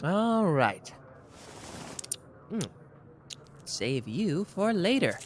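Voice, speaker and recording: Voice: medium pitch, big pitch swings, drawn-out words, a little hiss or hum. A performer voicing a smug, boastful young male detective in an ASMR roleplay, teasing and playful.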